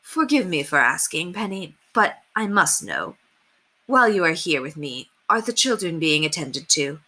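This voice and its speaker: A woman reads as a kind but firm headmistress from a storybook. She is gracious and proper, with measured phrases that rise and fall expressively in a bright tone. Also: deep voice, very animated, heavily acted. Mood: gently probing.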